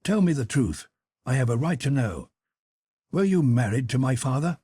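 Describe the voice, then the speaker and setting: A man's voice: medium pitch, lively intonation, moderate pace, mellow, slightly rough, dry recording, studio quality, pitched above the performer's own voice. A man doing a sullen, jealous teenage boy.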